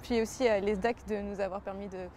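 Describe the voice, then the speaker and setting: A woman's voice: mid-range voice, fairly even intonation, fast, darkish tone. A woman, speaking casually as a student giving an interview. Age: 20s. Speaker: graphic design student. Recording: noisy ambient background.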